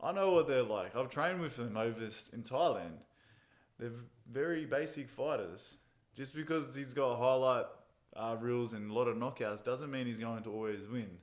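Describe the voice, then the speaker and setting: A man speaking at an ordinary middle pitch, relaxed and chatty as he talks through his fights and his childhood. He tells his stories loosely and easily, chuckling now and then at his own jokes. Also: normal speed. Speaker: MMA fighter, interview guest. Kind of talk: casual interview chat.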